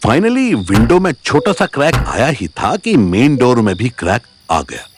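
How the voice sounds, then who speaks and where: A man's voice: mid-range voice, sing-song, measured pace, background noise. A man narrating a scripted audio story in a dramatic storytelling style. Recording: music under the narration.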